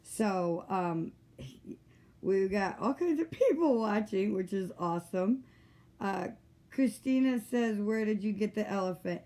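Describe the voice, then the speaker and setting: A woman hosts an informal online chat in a middle-pitched voice. She talks in a homespun, conversational way, asking questions and reacting with folksy exclamations. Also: expressive, a little slow, warm tone. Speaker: host of a livestream chat. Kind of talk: casual interview chat.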